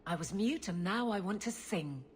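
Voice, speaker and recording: An actress playing a blunt, proud captain of a city guard in a video game, speaking in a dry, firm, no-nonsense manner. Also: female voice, medium pitch, expressive, normal speed, close to an everyday voice. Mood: relieved, grateful. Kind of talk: video game cutscene acting. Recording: game audio with background ambient noise.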